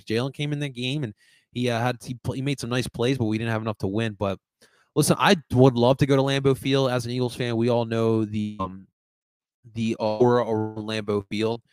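A man's voice: mid-range voice, fairly even intonation, brisk, no echo. A talkative man with a light Latin American Spanish accent hosts a casual sports stream, stumbling over and repeating words as he answers his viewers. His mid-range voice is recorded close and dry, with no room sound. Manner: rambling, repeats words, laughs often, chats to viewers. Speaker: sports podcast host reading live chat.

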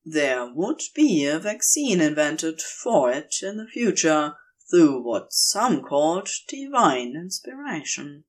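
Woman reading a story out loud, lowish voice, takes her time, lots of melody in it. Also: very animated, slow pace, hardly any pauses. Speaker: podfic narrator reading a story. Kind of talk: scripted story narration.

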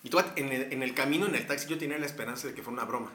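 Bright-voiced Mexican man rambling through a story. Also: medium pitch, very fast, echoey room. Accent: Mexican Spanish. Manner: rambling, many fillers and restarts. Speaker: guest telling a story. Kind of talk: casual storytelling, slangy.

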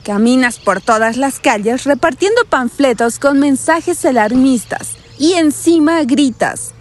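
A woman playing a breezy, skeptical and easily annoyed vacationer in an audio drama, colloquial and expressive in delivery. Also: sits high, measured pace, soft background music. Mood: annoyed, sarcastic. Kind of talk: scripted audio drama acting.

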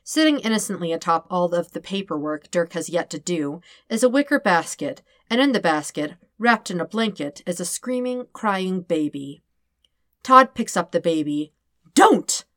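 A woman reading fan fiction aloud as an expressive narrator. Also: on the low side, moderate pace, no echo. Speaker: podfic reader.

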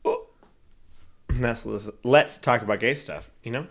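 A man's voice: medium pitch, measured pace, vocal fry. A man in his thirties co-hosting a podcast in casual, playful banter, often laughing. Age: 30s. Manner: playful, giggly, breaks into loud laughs. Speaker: podcast co-host.

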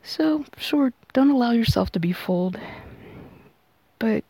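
Woman reading tarot for a podcast, creaky mellow voice, explains stuff in measured bits, really dry sound. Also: on the high side, lively intonation, dry recording, poor audio. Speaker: tarot reader and podcast host.

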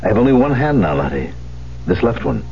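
A man's voice: on the low side, big pitch swings, fairly quick. An actor playing a despairing, self-pitying concert pianist who has lost his hand, in a theatrical 1970s radio drama style. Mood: bitter despair. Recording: dull hissy 1970s radio recording.

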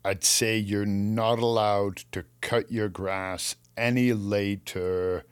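A man's voice: fairly low pitch, fairly even intonation, takes it slowly, clear, resonant tone, no room sound. A man with a Canadian accent chatting casually as a show co-host, telling stories and acting out what people would say. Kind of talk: casual chat.

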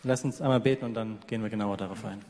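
A man's voice: on the low side, steady tone, very fast, darkish tone. A man working as a live interpreter, relaying a sermon passage by passage in a church. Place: large echoing church hall. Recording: long hall reverb.

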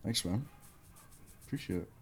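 A man co-hosting a casual podcast, laid-back and jokey, swearing casually and sounding a bit congested. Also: normal speed. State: congested from a sore throat. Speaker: podcast co-host. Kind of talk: casual chat.

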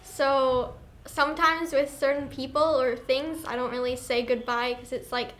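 A woman's voice: high voice, flat delivery, measured pace, fairly bright tone. A teenage girl from Australia, a student guest, talking hesitantly with many fillers.

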